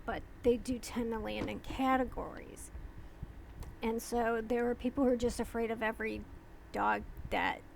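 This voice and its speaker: A woman vlogger talking casually and slowly, rambling with pauses and restarts. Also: on the high side, creaky, a little hiss or hum, low-quality recording. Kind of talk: casual talk to camera.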